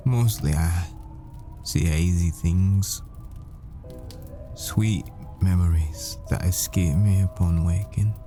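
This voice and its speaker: A man playing a devoted, yearning boyfriend in an intimate audio roleplay, speaking tenderly and haltingly as if confessing. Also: low voice, over music. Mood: intimate, confessional.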